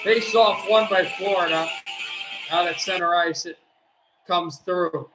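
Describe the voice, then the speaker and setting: A man doing amateur play-by-play of a hockey game, excitable and bursting into shouts on shots, his voice getting worn. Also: sits high, a little slow, heavy reverb, recorded from a distance, over music, low-quality recording. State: losing his voice. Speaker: play-by-play streamer.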